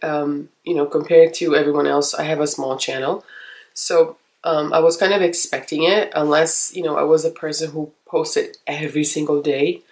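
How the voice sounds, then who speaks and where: A young woman with a stuffy, nasal voice from a cold, chatting casually to camera as a vlogger, rambling with many ums and soft laughs. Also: deep voice. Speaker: makeup vlogger.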